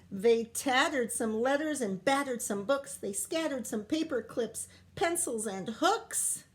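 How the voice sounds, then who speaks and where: A woman teacher reading a picture book aloud to young children in an expressive, sing-song way, with coaxing asides and questions. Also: medium pitch. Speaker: primary school teacher reading aloud to pupils.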